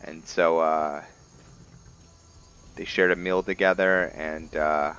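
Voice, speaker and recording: A man giving casual let's-play commentary and roleplay narration in a halting, musing way, often correcting himself. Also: a bass voice, flat delivery, fairly bright tone, muffled recording, slight background noise. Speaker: host of a roleplay gaming series.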